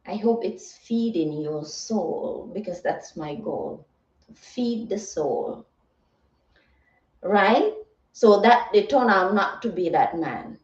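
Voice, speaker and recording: Slow, mellow woman in an echoey room. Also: mid-range voice, lively intonation. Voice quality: soothing. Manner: earnest, reflective, rhetorical check-ins like "right?" and "okay". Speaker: livestream host and motivational content creator. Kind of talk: live motivational talk, replying to viewers. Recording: roomy echo, distant mic, slightly muffled.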